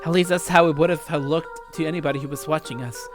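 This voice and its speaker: A man's voice: a little high, steady tone, fast, close to an everyday voice. A man voicing a bitter, desperate, dying young man in a visual novel, with strained and theatrical delivery. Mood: desperate, accusing. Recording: loud music under voice.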